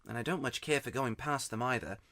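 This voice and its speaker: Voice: a little fast, fairly bright tone, dry recording, clean studio sound, close to an everyday voice. A storyteller voicing an upset young schoolboy who talks in a raised, pleading voice. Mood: eager. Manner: pleading, worked up, raised pitch. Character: grubby, miserable schoolboy, tearful and excitable. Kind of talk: audiobook character voice.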